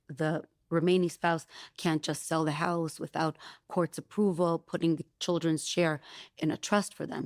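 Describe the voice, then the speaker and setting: Lower-voiced woman attorney explaining. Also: darkish tone, dry recording, studio quality. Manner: explanatory, methodical, gives examples. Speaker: attorney guest. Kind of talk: expert interview explanation.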